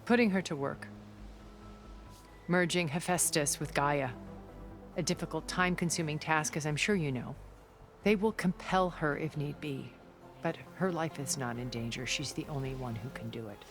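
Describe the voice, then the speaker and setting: An actress playing a cultured, composed and superior thousand-year-old woman in a video game, speaking in a measured, deliberate way. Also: sits low, faint music behind, lightly acted. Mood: composed, matter-of-fact. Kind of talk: video game cutscene acting.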